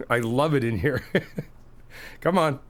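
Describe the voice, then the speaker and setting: A middle-aged man in an ASMR friend roleplay, talking in an easygoing, reassuring, chatty way with soft laughs. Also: mid-range voice, extremely slow. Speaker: ASMR roleplay creator playing a best friend.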